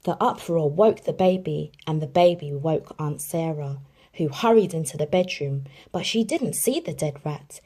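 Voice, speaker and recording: A woman reading a children's storybook aloud in an expressive storytelling manner. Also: low voice, normal speed. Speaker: children's book reader.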